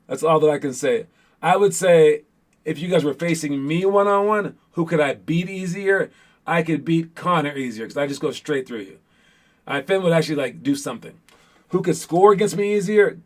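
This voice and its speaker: A man hosting a basketball show as casual chat with viewers, talking in an informal, rambling way with playful teasing. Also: a little high.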